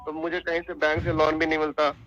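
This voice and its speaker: A man on a recorded phone call making a complaint, recounting his account in a deferential, repetitive, halting way. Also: fairly high pitch, monotone, loud music behind the voice.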